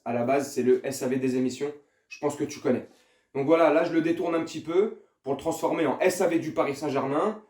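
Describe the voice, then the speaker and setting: A man's voice: fairly high pitch, a little mellow. Chatty young man, roomy sound. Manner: chatty, hesitant with fillers, addresses viewer directly. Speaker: YouTube host. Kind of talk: casual chat to camera.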